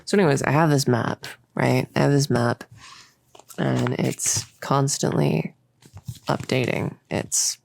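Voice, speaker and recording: A woman's voice: very deep voice, steady tone, slow, creaky, darkish tone, no echo, heavily acted. A young woman playing a bossy, giddy, possessive cultist in an intimate roleplay audio, rambling in a casual, smug, playful way. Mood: rambling, smug explaining. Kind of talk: intimate roleplay audio, improvised-sounding monologue.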